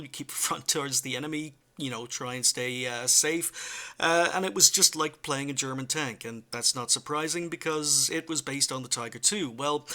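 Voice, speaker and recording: A man's voice: medium pitch, bright, forceful tone. A man hosting a gaming video, chatting casually with many fillers while getting over the flu. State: recovering from flu, chest infection. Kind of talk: casual gameplay commentary and history talk.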